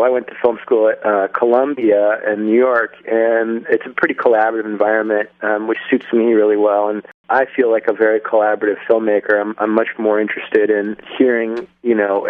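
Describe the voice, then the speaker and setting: A man's voice: lowish voice, dry recording. A young man, a filmmaker, answering interview questions on a phone line, thoughtful and full of restarts. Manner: thoughtful, many restarts and fillers. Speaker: filmmaker guest. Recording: phone-line sound, dry.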